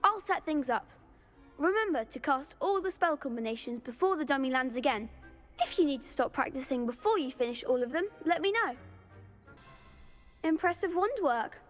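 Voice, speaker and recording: Cheery young school kid, boy, high voice, friendly game character, long reverb. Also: expressive, moderate pace, faint music behind. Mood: eager, admiring. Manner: eager, friendly. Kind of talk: video game voice acting. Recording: reverberant hall.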